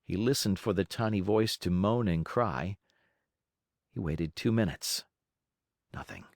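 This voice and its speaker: A man's voice: low voice, lively intonation, a little slow, a little mellow, no echo, clean studio sound. A man narrating a children's story as an audiobook reader, in a theatrical, emphatic way.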